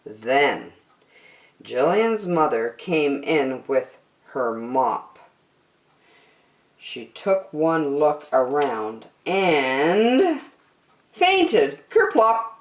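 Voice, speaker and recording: A woman reading a rhyming picture book aloud as a storytime host, expressive and theatrical, addressing young children. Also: low-pitched, extremely slow. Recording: roomy, echoing sound.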